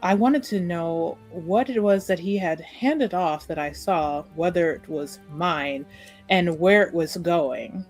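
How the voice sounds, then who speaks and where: A woman's voice: on the low side, slow pace, darkish tone, soft background music. A woman playing a guarded, aggrieved, well-to-do city woman in a tabletop roleplay, with curt, careful phrasing. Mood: aggrieved, pointed.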